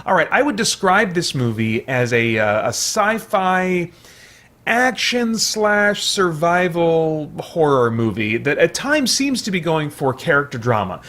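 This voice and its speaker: A man giving a casual, unscripted movie review, thinking out loud in a rambling, hesitant way with repeated false starts. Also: fairly high pitch, very animated, unhurried. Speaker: movie reviewer, video host.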